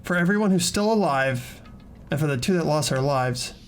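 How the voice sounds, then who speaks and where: A man's voice: on the high side, lively intonation, moderate pace, slight background noise. A man narrating a video game playthrough, reading dramatically and chatting casually.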